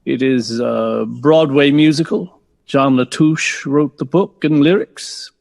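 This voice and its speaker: A man's voice: medium pitch, takes it slowly, gravelly, a little mellow. A man playing a modest, courteous jazz composer in a 1960s radio interview. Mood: reminiscing.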